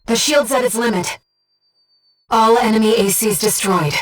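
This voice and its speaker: A woman voicing a gentle, earnest, disembodied female companion in a video game, guiding a pilot with composed, precise callouts and briefings. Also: on the high side, normal speed, recorded from a distance, soft background music, close to an everyday voice, rough recording. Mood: alert, urgent. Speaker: mission support companion.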